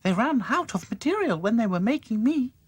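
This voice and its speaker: A man's voice: very high-pitched, big pitch swings, fairly quick, breathy edge, no room sound, pitched above the performer's own voice, exaggerated voice. A man voicing a prim princess doll in a storybook.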